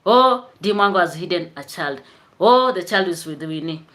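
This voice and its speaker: A woman's voice: on the low side, expressive, moderate pace, fairly bright tone. A woman chatting casually on a live stream, greeting viewers by name and laughing often. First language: Swahili.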